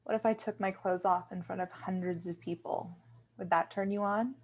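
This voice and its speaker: A woman's voice: medium pitch, fairly even intonation, normal speed, natural voice. A woman playing a hesitant, appeasing young wife in a table read, speaking tentatively. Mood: anxious, appeasing.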